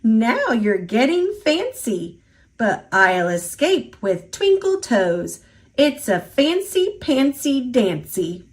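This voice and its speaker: A woman voicing a mischievous, boastful little old male leprechaun in a storybook, with a playful, taunting, rhyming lilt. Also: a little high. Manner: playful, taunting, sing-song rhyming delivery.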